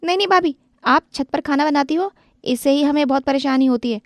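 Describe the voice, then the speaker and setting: A woman's voice: sits high, brisk, dry recording, voice pushed up, lightly acted. A woman voicing a young sister-in-law who complains and pleads. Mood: complaining.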